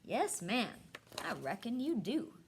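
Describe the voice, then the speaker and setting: A woman's voice: on the high side, very animated, slow pace, slight background noise. A woman voicing an eager, impatient young grandson in a storybook, with pleading questions. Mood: polite, eager. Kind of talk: storybook read-aloud.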